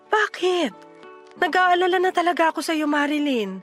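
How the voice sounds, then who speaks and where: A woman playing a worried, caring young friend in a Filipino radio drama, earnest and gently insistent, with a Filipino accent. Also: extremely high pitch, lively intonation, normal speed. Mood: worried, probing. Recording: music behind the voice.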